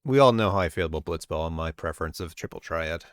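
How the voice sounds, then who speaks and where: A man with a low voice that swings widely in pitch as he talks. He chats casually on a podcast and curses often. The sound is dry and close to the mic. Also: fast, smooth, no echo. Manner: animated, swearing, jumps into mock voices. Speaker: podcast co-host. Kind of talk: casual chat among friends.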